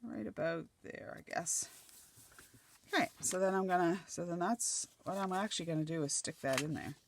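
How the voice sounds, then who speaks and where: A middle-aged woman from Nova Scotia, chatting casually as she works on a craft project, her voice slightly croaky and dry. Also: lowish voice, moderate pace, a little hiss or hum. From Nova Scotia, Canada. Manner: chatty, thinking aloud while gluing collage pieces. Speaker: craft channel host.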